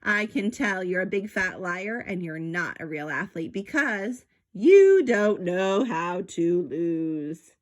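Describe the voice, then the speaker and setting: A woman's voice: fairly low pitch, animated, slow pace, few pauses. A woman voicing a cheeky male mouse detective from a children's storybook, perky and mocking. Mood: teasing.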